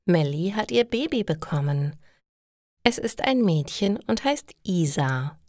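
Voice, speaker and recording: A woman reading simple stories for language learners as a narrator, in a slow, careful storytelling style. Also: lowish voice, sing-song, darkish tone, no room sound, studio quality.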